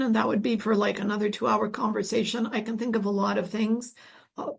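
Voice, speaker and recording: Gravelly, husky woman speaking earnestly. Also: mid-range voice. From Colombia. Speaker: guest speaker, sustainable development expert. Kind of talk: answering audience questions at an online event.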